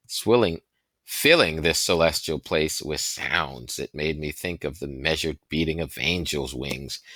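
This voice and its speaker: A man reading a historical novel aloud as scripted narration, with deliberate, dramatic phrasing and stressed pauses. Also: rumbling low, a bit bright, dry recording. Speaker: audiobook reader.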